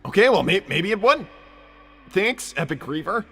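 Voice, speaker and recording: Guy doing a chill young cartoon hedgehog, crisp bright tone, easygoing, big echoey reverb, music under it. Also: sits high, big pitch swings, normal speed, exaggerated voice. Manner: casual, easygoing, placating. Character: laid-back young male cartoon hedgehog hero. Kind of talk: improvised in-character comedy livestream.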